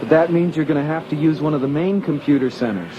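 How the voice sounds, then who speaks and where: An actor playing an easygoing young American rebel pilot with a casual, youthful, teasing manner in an audio drama. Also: male voice, on the high side, fast, dull audio, music in the background, lightly acted. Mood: curious, eager.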